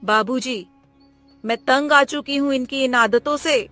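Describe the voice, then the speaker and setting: Woman acting a fed-up young wife, highish voice. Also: unhurried, pushed and bright, dry recording, faint music behind. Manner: complaining, aggrieved. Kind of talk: Hindi cartoon moral story dialogue.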